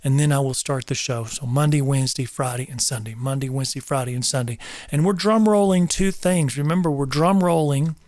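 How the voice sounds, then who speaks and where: A man's voice: medium pitch, a little fast, a little mellow, no echo, studio quality. A middle-aged man from North Georgia, talking casually and earnestly to viewers of his live show, rambling and repeating himself with preacherly asides. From North Georgia, US South. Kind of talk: casual livestream talk to viewers.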